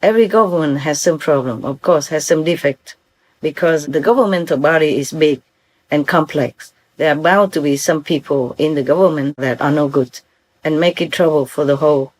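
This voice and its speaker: A woman's voice: sits low, normal speed, a little mellow, rough recording. An older woman from Vietnam with a Vietnamese accent, giving an earnest, informal talk as a spiritual teacher and often checking that her listeners follow.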